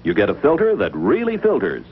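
Low voiced old commercial guy, really animated pitch, muffled sound. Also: a little fast, husky. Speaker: commercial announcer. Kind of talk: 1950s cigarette advertisement narration. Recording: dull, faintly noisy 1950s commercial audio.

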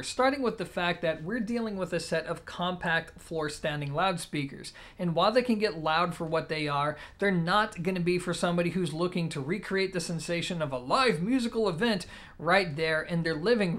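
A man's voice: sits high, fairly bright tone. A man in his thirties giving a casual product review, talking directly to viewers in a conversational way. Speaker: audio gear reviewer and host. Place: indoor room at home, seated.

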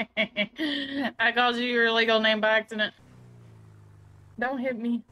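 A woman's voice: fairly high pitch, steady tone, takes it slowly, sharp tone. A woman bantering casually in character as she plays a roleplay game.

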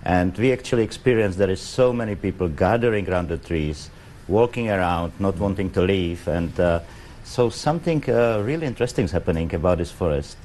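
A middle-aged man with a Czech accent, a guest in a TV studio interview, speaking halting English with many fillers. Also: low voice, a little mellow, a little hiss or hum. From Czech Republic. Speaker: pavilion guest. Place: TV studio set.

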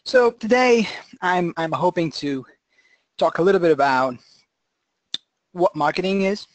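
A man from Portugal gives an informal webinar lecture, haltingly repeating words as he explains. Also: high voice, lively intonation, a little hiss or hum. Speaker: guest presenter, conservation marketing researcher.

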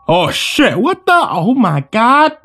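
A man's voice: very high-pitched, expressive, normal speed, over loud music, voice pushed up. A man voicing a panicked, defensive gunman who blurts and stammers his excuses, in a dramatic story. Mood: panicked shock.

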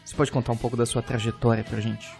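A man hosting a podcast talks quickly at a middle pitch. He sounds reflective and earnest as he asks his guest follow-up questions. Faint music plays softly behind him. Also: level tone, gravelly. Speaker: podcast host. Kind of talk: casual podcast chat.

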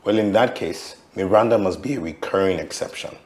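A man's voice: sits low, a little fast, darkish tone, lightly acted. An actor playing a jealous, accusing lover and aggrieved father in a screen drama, questioning in a confrontational way. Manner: confrontational, interrogating. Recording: roomy echo, faint background noise.

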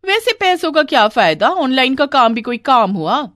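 Woman as a high, haughty young snob. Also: sing-song, moderate pace, fairly bright tone, no echo, clean studio sound. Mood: snide. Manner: snide, haughty, sing-song mockery. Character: vain, snobbish, sneering young woman.

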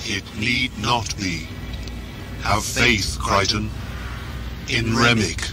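A man playing a slow, cryptic, solemn oracle. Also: fairly low pitch, music in the background. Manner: measured, portentous. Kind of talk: audio drama acting.

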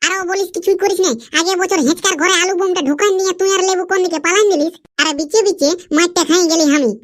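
A performer with a pitched-up chipmunk voice playing an excitable, cheeky village cartoon fellow with a Purulia accent, bantering bluntly in dialect. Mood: grumbling. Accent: Purulia, West Bengal. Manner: blunt, teasing, rapid back-and-forth.

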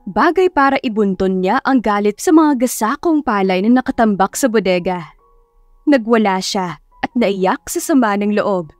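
A woman's voice: fairly high pitch, darkish tone, no room sound, studio quality. A woman narrating a scripted romance story in a dramatic audiobook style. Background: music.

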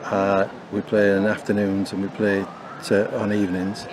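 A man's voice: on the low side, flat delivery, moderate pace, background noise. An older man, a bowling club committee member, speaking in an outdoor interview with many fillers and restarts. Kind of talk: interview talk.

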